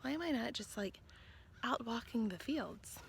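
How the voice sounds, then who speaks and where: A woman's voice: a little high, expressive, normal speed, gravelly, slight background noise. A woman chatting casually in a playful family vlog. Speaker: family vlogger.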